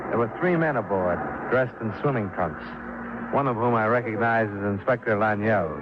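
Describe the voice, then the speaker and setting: An actor playing a terse, unflappable insurance investigator with an American accent, clipped and dry, in hard-boiled old radio style. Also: male voice, on the low side, moderate pace, very noisy, close to an everyday voice. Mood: dry narration. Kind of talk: hard-boiled 1950s radio drama acting and narration. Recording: muffled old radio recording, music behind.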